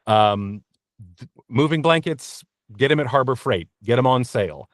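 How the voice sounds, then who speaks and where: A man's voice: medium pitch, animated, moderate pace, dry recording. Male interview guest, conversational and practical, explains things plainly, close dry recording. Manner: practical, explanatory advice-giving.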